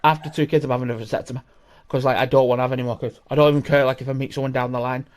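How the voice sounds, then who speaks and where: A man's voice: medium pitch, fairly even intonation, very fast. A man, hosting a podcast in casual chat, swearing and rambling with many restarts. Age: 30s. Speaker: podcast host.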